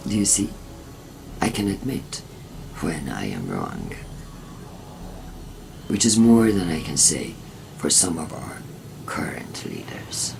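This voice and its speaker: Slow, creaky, imperious leader. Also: female voice, a bass voice, exaggerated voice. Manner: theatrical, deliberate, imperious. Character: proud, scheming female faction leader, silkily commanding. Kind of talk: scripted game cutscene. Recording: music under the voice.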